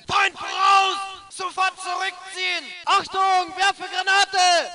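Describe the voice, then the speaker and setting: An actor playing a gruff German World War II infantryman in a video game, barking urgent orders with a smoker's rasp. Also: extremely high pitch, level tone, slow pace, shouting, a crowd in the background, exaggerated voice. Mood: urgent, commanding. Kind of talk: video game combat voice lines.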